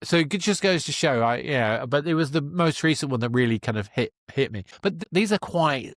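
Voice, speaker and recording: A man's voice: on the high side, lively intonation, fairly quick, no room sound, clean studio sound. A man hosting a conversational interview, feeling his way toward questions in a tentative, roundabout manner. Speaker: podcast host, interviewer.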